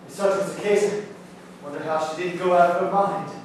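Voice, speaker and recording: A man on stage playing a shaken, haunted, polite man with halting, formal speech. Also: high-pitched, steady tone, close to an everyday voice. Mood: haunted, pressing. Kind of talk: live stage play acting. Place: indoor theatre stage, recorded from a distance. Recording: echoey theatre stage from far off, faint noise.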